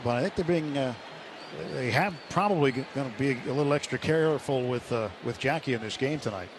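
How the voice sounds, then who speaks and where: A man giving relaxed radio color commentary on a baseball game, explaining with casual fillers. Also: medium pitch, brisk. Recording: radio broadcast with loud ballpark noise.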